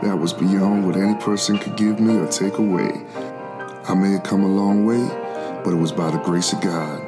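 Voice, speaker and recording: A man's voice: sits low, fairly even intonation, moderate pace, music in the background, lightly acted. A man playing a devout, formerly enslaved preacher of the 1700s, telling his spiritual struggle in solemn, reflective first-person narration. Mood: searching, solemn. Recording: loud musical backing.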